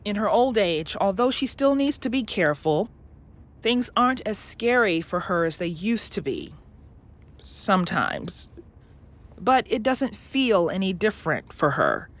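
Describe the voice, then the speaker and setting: Slow-spoken, patient, loving mother. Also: medium pitch, big pitch swings. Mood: thoughtful, hesitant. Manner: gentle, thoughtful, hesitant explaining. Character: loving, patient academic mother of young son. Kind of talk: audio drama acting.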